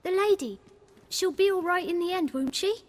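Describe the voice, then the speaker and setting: A woman's voice: very high voice, moderate pace. A woman voicing a small, innocent peasant boy in a video game, asking simple, anxious questions. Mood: anxious, hopeful.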